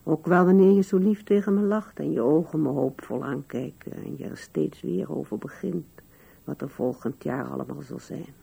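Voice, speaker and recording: An older woman acting in a radio drama as a musing, wistful old widow with a gravelly, husky voice. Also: low voice, moderate pace, mellow, exaggerated voice, low-quality recording. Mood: tender, sorrowful.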